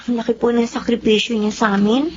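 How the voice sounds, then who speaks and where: An actress playing a sweet, respectful teenage daughter in a Filipino radio drama, gentle and deferential. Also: female voice, a little high, little pitch movement, a little fast, noisy background, natural voice. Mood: shy, dutiful. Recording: muffled radio drama sound.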